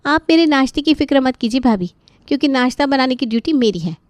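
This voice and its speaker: A woman's voice: high voice, expressive, quick pace, dry recording, studio quality, pitched above the performer's own voice. A woman voicing a sassy, self-assured young daughter-in-law in a story, with a breezy, curt manner. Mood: breezy defiance.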